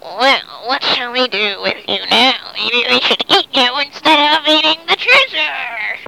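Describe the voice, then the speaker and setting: A woman's voice: high voice, expressive, a little slow, creaky, a crowd in the background, full character voice, rough recording. A woman voicing a shifty, bumbling pirate captain in a made-up story, blustering and stammering. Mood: menacing, exasperated.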